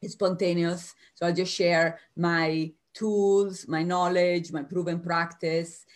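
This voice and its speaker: Energetic Italian-accented woman coach. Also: fairly low pitch. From United States. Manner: lively, energetic, quick bursts with restarts. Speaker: career coach guest. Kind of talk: spontaneous interview answers.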